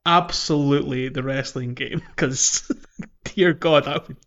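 Casting: male podcast co-host for casual game chat, rambling and understated, mid-range pitch. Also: fairly even intonation, unhurried, creaky, a bit bright, lo-fi sound. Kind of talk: casual video game discussion.

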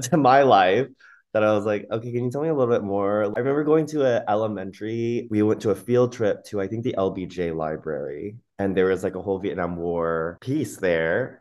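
Male host, low pitch, easygoing interviewer. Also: a little mellow. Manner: conversational, relating to the guest. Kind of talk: casual interview chat.

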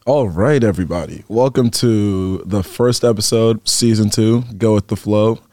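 A man's voice: on the low side, normal speed, dark tone. A young man hosting a student podcast, asking his guest easygoing, chatty questions. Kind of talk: casual interview hosting.

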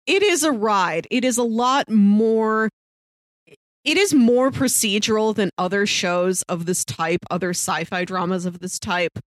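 A woman's voice: medium pitch, no echo. A woman co-hosting a podcast, casually thinking aloud in a reflective, analytical way. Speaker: podcast co-host. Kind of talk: casual TV discussion.

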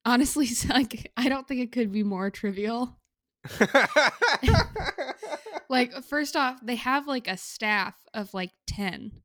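A woman co-hosting a podcast in casual chat, telling stories in a halting, amused way. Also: fairly high pitch, no room sound, clean studio sound. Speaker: podcast co-host.

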